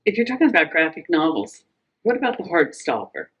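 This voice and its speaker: A woman with a low voice speaks as a member of library staff. She talks informally, in the short, practical manner of someone joining a casual chat. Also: very animated, a little fast, slightly rough, lo-fi sound.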